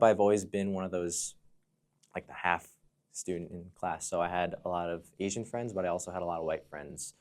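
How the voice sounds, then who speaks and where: A man with an American accent and a notably deep voice. He talks as an interview guest, explaining himself reflectively in a conversational, storytelling style. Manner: reflective, explanatory, often says "you know". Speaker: podcast guest, fire dancer and entrepreneur.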